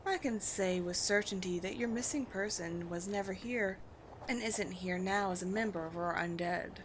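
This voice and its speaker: A woman playing a haughty, commanding vampire queen in a roleplay audio drama, speaking in deliberate, theatrical phrases with disdain for humans. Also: medium pitch, noisy background, close to an everyday voice. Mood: cool, curious.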